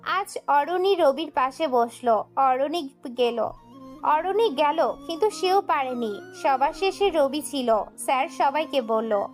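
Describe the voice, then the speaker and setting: A woman's voice: sits high, expressive, slow, soft background music. A woman narrating a scripted dramatic story as its storyteller.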